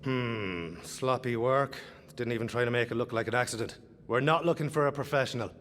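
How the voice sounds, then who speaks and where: A man's voice: medium pitch, brisk, a bit bright, big-room sound, natural voice. A man playing a wry, easily distracted treasure hunter in a video game, quippy and theatrical. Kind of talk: video game character dialogue.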